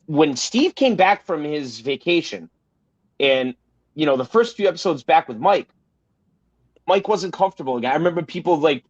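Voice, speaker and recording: A man co-hosting a casual podcast, talking in an emphatic, rambling way and swearing freely. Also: on the high side. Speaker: podcast co-host. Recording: roomy, slightly dull sound.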